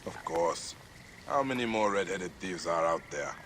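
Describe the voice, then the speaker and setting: Stern low-voiced warrior. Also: male voice, expressive, measured pace, background noise. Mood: wry, pressing. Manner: terse, probing, no-nonsense. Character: stern, determined male warrior investigator, adult. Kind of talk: video game cutscene acting. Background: music.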